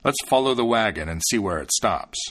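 A man's voice: on the low side, lively intonation, a little fast, fairly bright tone. A man voicing a greedy, scheming stranger in a storybook tale, conspiratorial and persuasive. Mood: astonished, curious. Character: scheming male stranger, greedy, coaxing opportunist.

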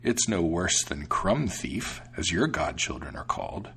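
A husky, low-voiced storybook cat, acted out by a man. He is greedy and smooth-talking, drawing out his words with dry, sly deceit and swinging pitch. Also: sing-song, bright, forceful tone, exaggerated voice. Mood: dismissive. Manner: slow, dry, drawn-out delivery. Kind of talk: storybook character voice.

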